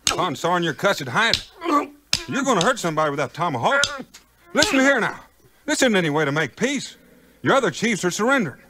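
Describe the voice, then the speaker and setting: A man playing a brave, easygoing frontiersman, folksy and unhurried, in a 1950s audio adventure. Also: high voice, very animated, background noise. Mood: firm, indignant. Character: plain-spoken, brave, easygoing frontiersman hero. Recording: 1950s record, music behind.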